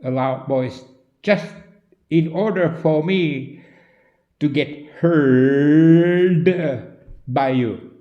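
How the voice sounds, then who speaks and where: Slow, emphatic Finnish-accented man. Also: on the high side, roomy sound, dull audio. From Finland. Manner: slow, deliberate, loud and emphatic. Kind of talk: direct-to-camera monologue.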